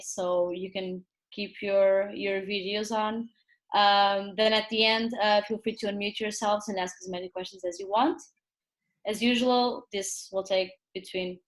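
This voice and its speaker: A woman with a mid-pitched voice hosts a video call chat. She sets out the plan in an orderly way, with plenty of fillers along the way. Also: rough recording. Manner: organized, with many fillers. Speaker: host.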